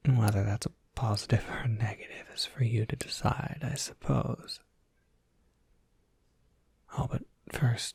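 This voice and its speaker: An ASMR performer playing a courteous, soft-spoken university professor, whispering in formal, measured phrases with a breathy, husky voice. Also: medium pitch, dry recording, heavily acted. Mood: welcoming, formal. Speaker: professor overseeing a college major.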